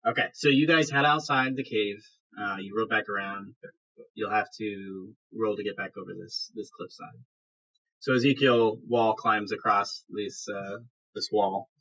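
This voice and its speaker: Dull-sounding recording, forceful low-voiced husky man. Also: fairly even intonation, a little slow. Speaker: game master. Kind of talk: improvised narration of a tabletop game. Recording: dull lo-fi podcast audio.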